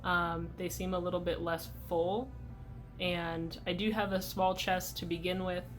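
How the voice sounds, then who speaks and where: A young man talking casually in a video diary update, chatty and relaxed, with many "like" and "um" fillers. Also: sits high, measured pace, soft background music. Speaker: vlogger.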